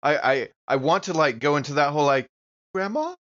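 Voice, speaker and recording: A man's voice: on the high side, lively intonation, a little fast, lo-fi sound, heavy background noise. A man chatting casually and role-playing as a player in a tabletop game. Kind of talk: casual chat and role-play.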